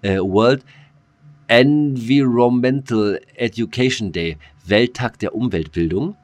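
A man's voice: mid-range voice, takes it slowly. A man co-hosting a casual podcast, rambling and joking with many fillers. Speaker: podcast co-host.

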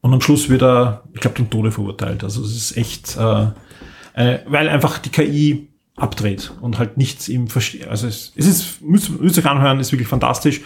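A man's voice: mid-range voice, moderate pace, vocal fry. A male podcast co-host with a mid-range voice. He talks casually and keenly, building long, wandering explanations as he recommends things to listeners. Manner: enthusiastic, rambling explanations. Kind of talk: casual podcast chat, recommending audio dramas.

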